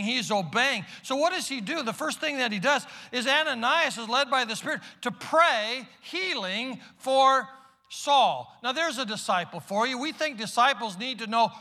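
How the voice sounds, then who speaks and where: Male preacher, very high and ringing tone, emphatic delivery that drops to hushed asides, church hall reverb. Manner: emphatic, swings between loud calls and hushed asides. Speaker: pastor. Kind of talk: sermon. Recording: long reverb of a large hall.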